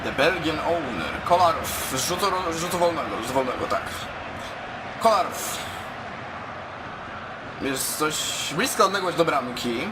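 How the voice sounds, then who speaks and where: A man commentating casually on his own video game play in an excitable, informal way, with speech. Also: fairly high pitch, unhurried, long pauses, very noisy. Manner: excitable, exclaiming, informal with swearing. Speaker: gaming YouTuber.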